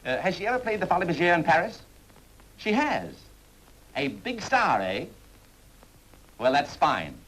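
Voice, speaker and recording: A man's voice: on the high side, big pitch swings, unhurried, pauses often, a bit bright, husky, heavily acted, poor audio. An actor playing a brash, wisecracking American showman in a 1930s-style film, blunt and impatient. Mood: busy phone.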